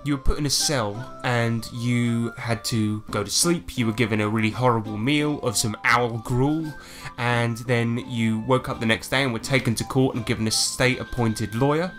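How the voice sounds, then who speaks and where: A man's voice: medium pitch, fairly even intonation, normal speed, hardly any pauses, fairly bright tone, soft background music. A man narrating a tabletop roleplaying game as its game master, setting scenes informally.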